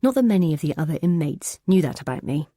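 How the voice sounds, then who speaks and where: A woman reading a first-person prison thriller audiobook in a measured, steady way. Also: low-pitched, no echo, clean studio sound. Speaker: audiobook narrator.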